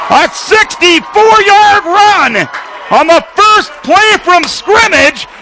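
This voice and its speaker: A man's voice: very high-pitched, bright, forceful tone. An American man calling football play-by-play as a radio announcer, in precise, detailed, clipped phrases. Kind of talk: live sports play-by-play commentary.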